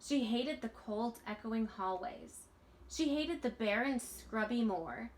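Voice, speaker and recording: A woman in her thirties reads a children's storybook aloud expressively, pausing deliberately between phrases. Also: fairly high pitch, lively intonation, a little hiss or hum. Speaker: teacher reading a storybook aloud.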